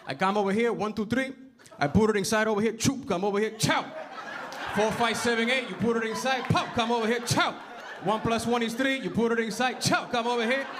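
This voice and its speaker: A male comedian playing his excitable older handyman father with a Spanish accent, emphatic and switching between Spanish and English before a loud club audience. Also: extremely high pitch, a little fast, noisy background, voice pushed up.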